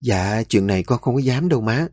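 A man voicing a timid daughter-in-law who answers softly and hesitantly. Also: medium pitch, very slow, no echo, studio quality. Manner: hesitant soft-spoken.

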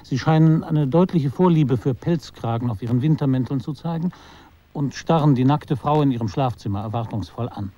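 A man performing a detached, ironic literary narrator in a radio drama, with measured, deliberate phrasing. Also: mid-range voice, warm tone, dull audio, close to an everyday voice. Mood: measured, wry.

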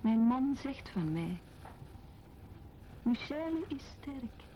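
A woman's voice: a little high, sing-song, extremely slow, warm tone, heavily acted. An actress playing a troubled, introspective wife in a radio drama monologue, confessing her unease. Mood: bitter. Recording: dull radio play, faint noise.

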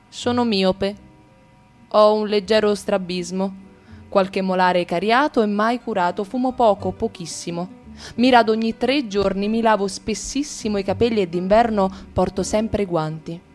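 A woman narrating a radio play in a steady, measured first-person reading. Her voice sits at a middle pitch, with faint music behind her.

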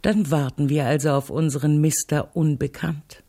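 An actress playing a headstrong, sardonic middle-aged crime novelist in a radio play, assertive, dry and teasing. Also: female voice, deep voice, steady tone, normal speed, warm tone. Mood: imperious, sardonic. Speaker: radio drama actor. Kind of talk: German radio play dialogue.

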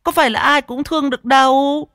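Man putting on a shrill, shrewish market woman. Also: steady tone, brisk, no room sound, studio quality, heavily acted. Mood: scornful haggling. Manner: sharp-tongued, exclaiming, repeating herself.

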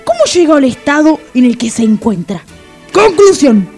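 An actress with an Argentine accent playing a stern, bossy police sergeant, speaking with deliberate authority in a comic radio drama. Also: female voice, sits high, big pitch swings, a little mellow, faint music behind. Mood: cold, demanding. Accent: Argentine Spanish.

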